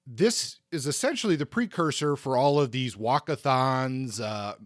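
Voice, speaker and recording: A man co-hosting a podcast, explaining history in a conversational way, often halting and repeating words. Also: medium pitch, no room sound, studio quality. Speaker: podcast co-host.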